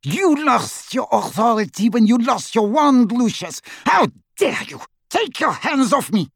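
A man's voice: sits high, very animated, unhurried, a little mellow, slightly rough, no echo, studio quality, pitched above the performer's own voice, full character voice. A man voicing a haughty, cruel witch who sneers and shrieks. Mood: shrieking.

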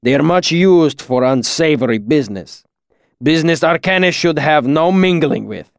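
A huge, shaggy master artificer speaks with stern gravity as a male narrator plays him. His phrasing is slow, formal and exacting, like a patient but demanding mentor in a fantasy audiobook. The sound is dry, with very little room. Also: a little high, lively intonation, gravelly, no room sound, pitched above the performer's own voice. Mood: stern reproach. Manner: slow, grave, formal, oddly ordered phrasing. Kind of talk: audiobook character dialogue.

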